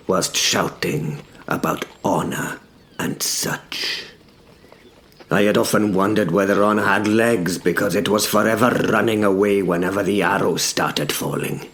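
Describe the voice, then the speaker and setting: A man playing a wry, fearful young medieval knight who narrates his battles in a deadpan, measured way for an audio drama. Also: low-pitched. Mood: wry, brooding, then uneasy, deadpan. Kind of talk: first-person scripted dramatic narration.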